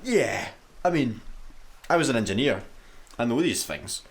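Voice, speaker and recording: A Scottish man playing an excitable, sarcastic space engineer in a sci-fi comedy radio play, with a dramatic, easily flustered manner. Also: mid-range voice, measured pace, vocal fry, background noise. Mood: blasé.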